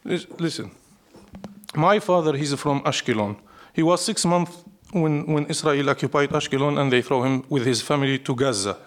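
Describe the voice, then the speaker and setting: A man speaks in a somewhat high-set voice with a Syrian Arabic accent, his native language being Arabic. He is a poet being interviewed, reflective and declarative. He builds his points in short, repeated, emphatic phrases. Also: normal speed. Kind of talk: live interview, reflective storytelling.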